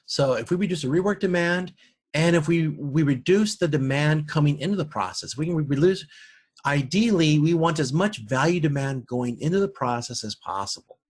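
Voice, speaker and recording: A man lecturing informally, explaining step by step in a halting, think-aloud way and often repeating words. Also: fairly high pitch, expressive, husky.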